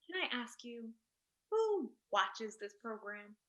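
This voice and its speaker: A woman's voice: fairly high pitch, sing-song, takes it slowly, pushed and bright, exaggerated voice. A woman in a staged play reading, playing a prickly, blunt academic author who talks in terse, disdainful questions. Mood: probing, dry. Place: indoors on a Zoom call.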